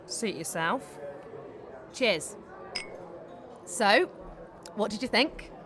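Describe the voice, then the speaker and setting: A woman's voice: mid-range voice, lively intonation, extremely slow, fairly bright tone. A woman playing a successful young British stage actress, a frank, teasing and affectionate friend, in a naturalistic audio drama. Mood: warm, chatty.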